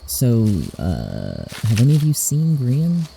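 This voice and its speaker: A man's voice: medium pitch, wildly swooping pitch, measured pace, creaky, dark tone, a little hiss or hum, full character voice. A man in a podfic audio drama playing a hesitant, weary drifter with a drawling way of talking. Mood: hesitant, concerned.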